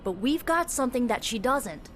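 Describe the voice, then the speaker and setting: Casting: spirited youthful heroine voiced by a woman, slangy team leader, determined game dialogue over clear background noise. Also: a little high, expressive, normal speed, close to an everyday voice. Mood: earnest, rallying. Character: spirited young female superhero team leader, pep-talking, casual, determined. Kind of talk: video game voice acting. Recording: noisy game sound effects behind.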